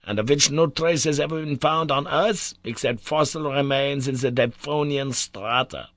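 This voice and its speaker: A man's voice: medium pitch, normal speed, a bit bright, dry recording, studio quality, voice pushed up, close to an everyday voice. A man doing a raised-voice lecturing old professor. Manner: lecturing, precise, raised and emphatic delivery. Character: learned older male professor, exacting, excitable. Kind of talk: audiobook character reading.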